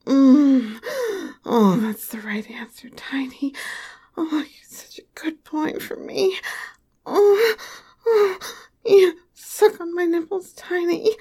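A woman playing a tall, teasing, affectionate young lover in an intimate audio roleplay, talking in a soft, breathy whisper. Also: sits high, takes it slowly. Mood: breathless heated.

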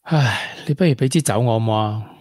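An actor plays a regretful man with a Hong Kong accent, earnestly telling his story in a radio drama. His voice sits at a medium pitch, recorded very dry and close. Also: fairly quick, a little mellow, no echo, close to an everyday voice. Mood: confessional. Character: regretful young ex-tech prodigy, confessing to a woman. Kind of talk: Cantonese radio drama acting.

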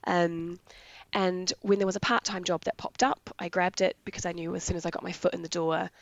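A woman from New Zealand with a raspy voice from being sick, eagerly explaining her work in veterinary anaesthesia in a casual interview. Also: fairly low pitch, level tone, fairly quick, dry recording, muffled recording. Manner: enthusiastic, explains jargon in plain terms. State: raspy voice from illness.

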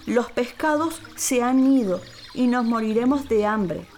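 A woman voicing an old, wise and sly male caiman in a children's storybook radio play, with deliberate theatrical delivery. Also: fairly high pitch, a little mellow, a little hiss or hum, voice pushed up, natural voice. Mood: earnest, pleading.

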